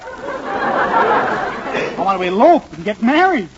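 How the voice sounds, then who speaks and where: A man's voice: very high voice, huge pitch swings, slow, over a noisy crowd, exaggerated voice. A man playing an eager, polite, hapless young man in love in a 1940s radio sitcom. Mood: eager, puzzled. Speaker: comedian, star of the show. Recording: muffled, noisy 1940s radio, studio audience.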